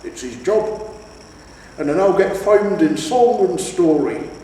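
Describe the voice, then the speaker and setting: A man's voice: high voice, lively intonation, slow, mellow, voice pushed up. A man voicing a proud, eager troll, a henpecked family father who talks in earnest, homely boasts, in a storybook reading. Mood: stern pride. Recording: echoey reverberant room, distant mic, faint noise.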